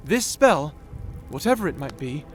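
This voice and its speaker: A man playing a shy, nerdy, excitable young wizard in an audio roleplay, with a stammering, flustered, self-doubting manner. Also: fairly high pitch, measured pace, faint music behind. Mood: excited, explaining. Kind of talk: scripted audio roleplay acting.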